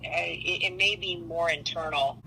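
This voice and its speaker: Blunt lady doing an astrology reading, super bright edgy voice, kinda low, bit of noise. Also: steady tone, a little slow, vocal fry, low-quality recording. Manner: blunt, matter-of-fact. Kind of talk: casual one-on-one astrology reading.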